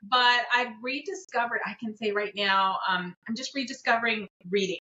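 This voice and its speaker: A woman's voice: a little high, moderate pace, bright, forceful tone. A middle-aged businesswoman, whose first language is Korean, chatting in an interview in a reflective way with many fillers. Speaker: guest, business owner. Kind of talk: casual interview conversation. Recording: dull lo-fi audio.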